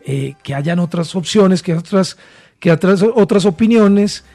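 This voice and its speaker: A Colombian man making his case in a debate, stacking repeated phrases for emphasis. His voice is fairly high for a man yet mellow and dark in tone. Faint music plays behind. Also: expressive, a little slow, creaky. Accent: Colombian Spanish. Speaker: presidential candidate, former minister. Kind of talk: political debate.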